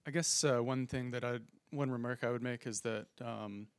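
A man's voice: mid-range voice, steady tone, moderate pace, a little hiss or hum. A man on a conference panel, one of its organizers, speaking thoughtfully and hedging into a handheld microphone. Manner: thoughtful, hedging, frequent fillers and restarts. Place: conference room stage.

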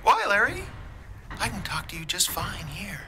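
A man's voice: sits high, huge pitch swings, moderate pace, over a noisy crowd, full character voice. A man playing a mocking, unhinged radio DJ menace in a horror audio drama, swaggering and theatrical. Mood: taunting, menacing. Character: taunting, unhinged radio DJ menace manifesting on air.

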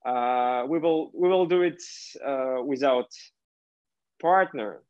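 A young man from Kazakhstan hosts a casual cooking and dance chat from his home kitchen on a video call. He talks haltingly, with many fillers and restarts. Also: medium pitch, smooth. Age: young adult. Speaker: host. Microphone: laptop webcam on a video call. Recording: muffled video call audio.

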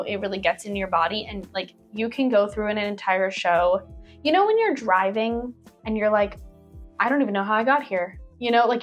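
Young woman, twenties, casual Q&A chat, middle pitch, lapel mic, small bedroom, faint background music. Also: dull audio. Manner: chatty, reads questions aloud then answers freely, frequent "like" and laughs. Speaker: cruise ship performer and vlogger. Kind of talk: casual Q&A to camera. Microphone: clip-on lapel mic.

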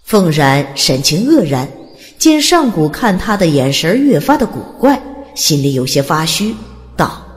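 A woman's voice: deep voice, big pitch swings, unhurried, long reverb tail. A woman narrating an audiobook as dramatic scripted storytelling.

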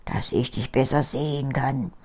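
A woman's voice: very deep voice, measured pace, a little mellow, slightly rough, pitched below the performer's own voice, heavily acted. An elderly woman voicing a sly, greedy male wolf in a fairy tale, coaxing and scheming. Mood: menacing, sly.

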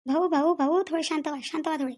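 Woman acting a high-voiced, defensive village mother-in-law. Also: fairly even intonation, quick pace, darkish tone, natural voice. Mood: placating. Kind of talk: Marathi cartoon family comedy-drama acting.

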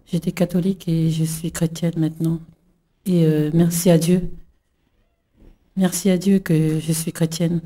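Slow, very dark-voiced woman testifying. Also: low voice, level tone. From New Caledonia. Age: middle-aged. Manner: halting, many fillers and restarts. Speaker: church member giving testimony. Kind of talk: emotional religious testimony.

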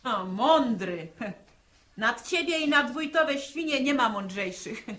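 Blunt, grumbling village mother, higher-pitched. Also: lively intonation, slow pace, fairly bright tone. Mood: opinionated, mocking. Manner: folksy, opinionated, scolding. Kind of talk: 1960s Polish radio drama acting.

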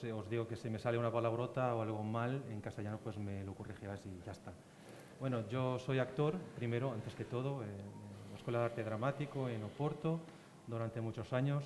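A middle-aged man with a Portuguese accent introduces himself casually on a panel. His pitch is average. There is hall reverb and faint noise around the voice. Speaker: panelist, actor and professor. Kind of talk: informal self-introduction at a talk. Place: small theatre stage, panel table. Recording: echoey hall, clear background noise.

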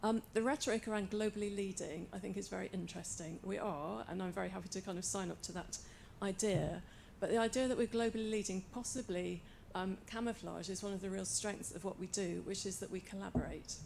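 A woman academic speaking from the audience of a panel event in an articulate, thoughtful, measured way. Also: medium pitch, fairly even intonation, vocal fry, a little mellow, a little hiss or hum. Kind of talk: panel discussion remarks. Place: lecture hall, in the audience.

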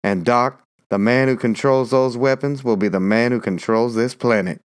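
A woman's voice: very deep voice, normal speed, clean tone, pitched below the performer's own voice. A woman voicing a boastful, scheming man who talks in a brash, folksy way.

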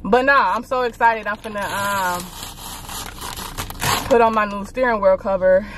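Young woman, American accent, beauty vlogger, unhurried pace, expressive casual chat, car cabin noise. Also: mid-range voice. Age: young adult. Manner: playful, slangy, expressive. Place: inside a car.

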